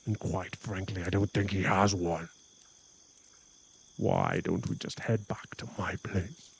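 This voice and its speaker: Man playing a cocky, gravelly werewolf flirt. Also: low-pitched, steady tone, slow pace, a little hiss or hum, heavily acted. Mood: smug mockery.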